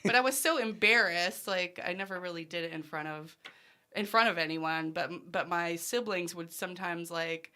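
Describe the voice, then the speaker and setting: A woman's voice: lowish voice, normal speed, sharp tone, smooth. A woman hosting a music podcast, chatting casually, giggling often and telling stories animatedly. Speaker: podcast host. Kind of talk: casual interview chat.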